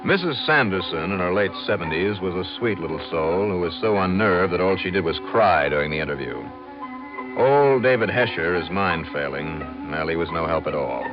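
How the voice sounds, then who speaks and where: An actor playing a sharp, impatient investigator in an old radio detective drama, with a wry, clipped delivery. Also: male voice, low voice, expressive, normal speed. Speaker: lead detective and narrator. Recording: dull old radio recording, music behind.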